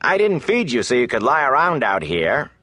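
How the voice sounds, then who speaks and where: A man voicing a gruff, bullying innkeeper in a fantasy audiobook, barking impatiently. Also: mid-range voice, wildly swooping pitch, normal speed, pushed and bright, dry recording, pitched above the performer's own voice, exaggerated voice. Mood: angry barking.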